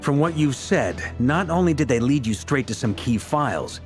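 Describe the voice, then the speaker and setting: A man playing a confident, laid-back military leader in a video game, speaking scripted lines in a measured, reassuring and deliberate way. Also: medium pitch, lively intonation, faint music behind, natural voice. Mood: thoughtful.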